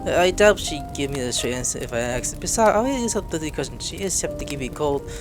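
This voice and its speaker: A woman gives joking, foul-mouthed game commentary. Her voice is low and swings widely in pitch, with game music behind it. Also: expressive, fast. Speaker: gameplay video host. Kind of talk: playthrough commentary with read-aloud dialogue and joking.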